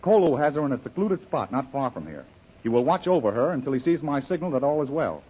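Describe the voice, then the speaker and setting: An actor playing a brave, modest adventurer in an old radio serial, laconic and husky. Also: male voice, medium pitch, big pitch swings, fast. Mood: steady, reassuring. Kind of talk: 1930s radio serial acting. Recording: dull, lo-fi old radio recording.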